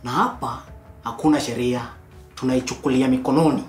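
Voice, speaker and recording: An actor playing a cold, threatening young boss with a Kenyan accent in a TV comedy-drama. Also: male voice, mid-range voice, steady tone, brisk, natural voice. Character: menacing young male boss. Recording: roomy office, faint music.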